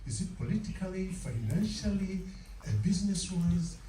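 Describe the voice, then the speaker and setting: Ugandan guy journalist asking long formal questions, super mellow voice, distant mic w faint noise. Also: sits high, expressive, normal speed. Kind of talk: press conference questioning. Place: large indoor press conference room. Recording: muffled, echoey room, distant, faint noise.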